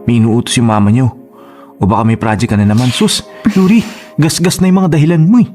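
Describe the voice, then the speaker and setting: A man's voice: mid-range voice, fast talker, warm tone, close to an everyday voice. A man with a Filipino accent playing a resentful young suitor in a radio drama, pushy and accusing. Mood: hurt, accusing. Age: young adult. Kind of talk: Tagalog radio drama acting. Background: music.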